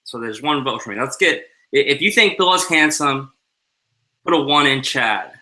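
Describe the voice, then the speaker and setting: Bright-voiced man co-hosting a casual live stream. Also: medium pitch, animated, normal speed, low-quality recording. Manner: loose, joking, rambling. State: tired. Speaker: co-host. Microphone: headset.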